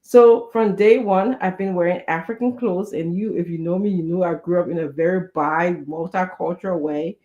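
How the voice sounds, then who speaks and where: Middle-aged Liberian woman urging gently but emphatically. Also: lowish voice, moderate pace, hardly any pauses, highs cut off. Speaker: guest speaker giving parenting advice. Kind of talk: earnest advice in a conversational online talk.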